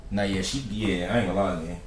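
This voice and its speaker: A man's voice: deep voice, steady tone, fast, roomy sound, slight background noise. A man hosting a reaction video, talking in laid-back slang with lots of fillers. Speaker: reaction host. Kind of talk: casual reaction commentary.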